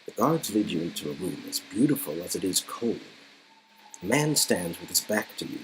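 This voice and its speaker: A man's voice: low voice, very animated, moderate pace, slight background noise, low-quality recording. A man reading narration for an interactive story game in a casual way, on an amateur home recording.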